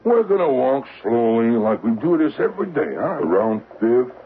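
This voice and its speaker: A cocky, folksy crook pitching a heist in slangy talk, his pitch swinging freely. It is old-time radio acting, heard through a thin, narrow broadcast sound with faint hiss. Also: sing-song, moderate pace. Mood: brisk, assured. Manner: folksy, slangy, talks in tags like "see?" and "huh?". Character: cocky male safecracker scheming a vault heist.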